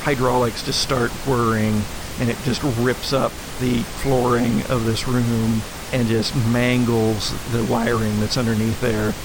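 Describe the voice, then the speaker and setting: A man's voice: mid-range voice, flat delivery, a little slow, noisy background. A man working as game master, improvising narration for a tabletop story.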